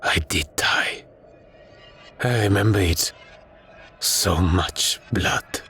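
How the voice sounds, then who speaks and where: A man's voice: low-pitched, pushed and bright, breathy edge, exaggerated voice. Man voicing a wheezing, sorrowful ghost soldier. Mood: mournful. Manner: wheezing, moaning, drawn-out. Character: sorrowful dead male soldier, a wasted ghost. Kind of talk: dramatic audiobook character voice.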